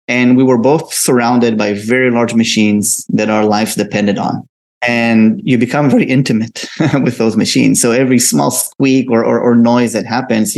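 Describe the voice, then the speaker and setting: A middle-aged man answering interview questions as a tech founder, conversationally, often pausing on ums and uhs. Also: medium pitch, a little mellow. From Israel. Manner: hesitant pauses with frequent ums and uhs. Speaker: interview guest, tech company co-founder and CEO.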